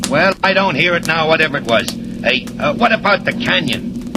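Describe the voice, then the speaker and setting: An actor playing a nervous, rough-spoken henchman who spooks easily, in 1940s radio serial style. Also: a little high, expressive, normal speed, lightly acted. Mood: doubtful. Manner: uneasy, slangy, uneducated tough talk. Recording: noisy vintage radio recording.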